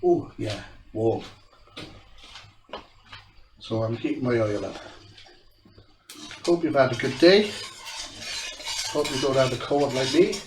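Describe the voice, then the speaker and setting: A man's voice: mid-range voice, lively intonation, slow, long pauses, reverberant, background noise. A man from South Wales with a Welsh accent, stuffy and blocked-nosed from a cold, chatting casually while cooking, his voice rising at the ends of sentences. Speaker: home cooking channel host. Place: home kitchen.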